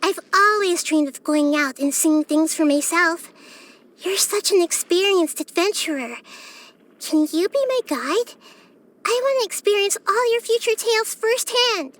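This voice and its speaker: A woman voicing a wise, gentle, curious child-like goddess in a video game, with a light youthful voice and earnest, thoughtful phrasing. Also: extremely high pitch, lively intonation, a little slow, full character voice. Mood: eager, wondering. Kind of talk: video game character voice lines.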